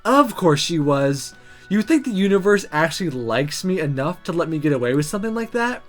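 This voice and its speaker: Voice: mid-range voice, sing-song, brisk, faint music behind, natural voice. A streamer acting out a flustered, stammering teen mage girl. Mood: mortified, sarcastic. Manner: stammering, awkward. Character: flustered, awkward teenage girl mage, sarcastic.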